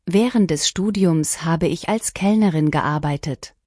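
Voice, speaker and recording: Low-pitched woman reading practice sentences slow and clear, super dry recording. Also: no room sound. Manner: clear, even, deliberate sentence-by-sentence delivery. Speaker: language lesson reader. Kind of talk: scripted shadowing practice reading.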